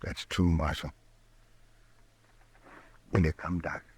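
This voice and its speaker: A man's voice: extremely low pitch, little pitch movement, takes it slowly, a little mellow, breathy edge, full character voice. A man with a rural American accent acting a worried, angry, plainspoken rancher father in a Western radio drama, blunt and folksy. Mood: urgent, worried.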